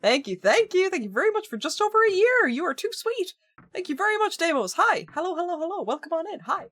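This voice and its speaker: A woman's voice: very high voice, big pitch swings, fast talker, fairly bright tone, dry recording, studio quality. A young woman streaming herself reading a spooky visual novel, reacting animatedly and squealing at scares.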